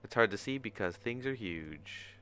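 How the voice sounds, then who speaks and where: A man's voice: fairly low pitch, expressive, moderate pace, bright, forceful tone, a little hiss or hum. A man hosting a casual tutorial livestream, talking himself through each step informally as he works. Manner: informal, self-talking, murmuring through steps. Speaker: livestream host, animator. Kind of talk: casual screen-share tutorial livestream, thinking aloud. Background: music under the voice.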